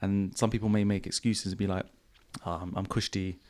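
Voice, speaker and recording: A man hosting a podcast in a casual, relaxed way, with a croaky voice and slangy, repetitive phrasing. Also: low-pitched, fairly quick. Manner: relaxed, repeats himself, slangy. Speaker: podcast host. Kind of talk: casual podcast chat answering viewer questions. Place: home studio.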